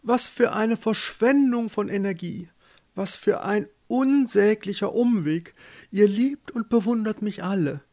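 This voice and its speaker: A man's voice: high-pitched, measured pace, darkish tone, pitched above the performer's own voice. A man voicing a haughty, restless fisherman's wife turned pope in a storybook, speaking in a stately, condescending way. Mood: lofty pity.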